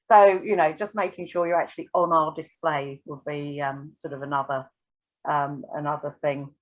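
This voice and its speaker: A woman's voice: sits low, steady tone, normal speed, narrow, tinny audio. A British woman giving an unscripted, thorough technical explanation step by step, in a hesitant way, as a guest expert. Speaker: air traffic control and radio examiner, guest expert.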